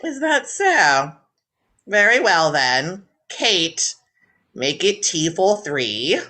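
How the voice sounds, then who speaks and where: Elderly baroness, acted by a woman, commanding, formal, animated intonation, sharp voice, radio drama. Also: on the low side, very slow, full character voice. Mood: imperious. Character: imperious elderly ailing baroness and aunt.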